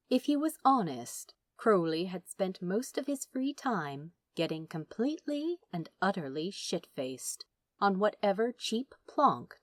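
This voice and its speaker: A woman reading a story aloud as a narrator, with dramatic, deliberate phrasing and drawn-out words. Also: lowish voice, a little mellow, no room sound. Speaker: audiobook narrator. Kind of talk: expressive scripted story narration.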